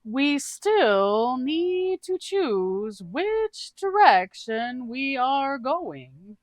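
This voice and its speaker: A narrator putting on a loud, reckless adventurer. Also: high-pitched, sing-song, no echo, pitched above the performer's own voice, exaggerated voice. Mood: eager, loud. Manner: drawn-out, loud delivery.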